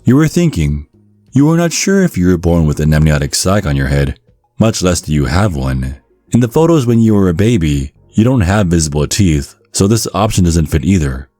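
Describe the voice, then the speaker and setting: A man narrating a scripted ASMR story in a measured, deliberate, deadpan way, close to the microphone. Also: low voice, dry recording. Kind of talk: scripted ASMR narration of a dark-comedy guide. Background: faint music.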